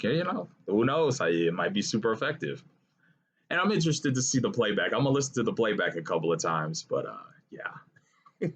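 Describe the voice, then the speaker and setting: A man's voice: fairly low pitch, a bit bright, slightly rough. A man, ranting casually to his viewers in a blunt, profane way with rambling asides. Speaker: vlogger. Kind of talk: casual political rant to camera.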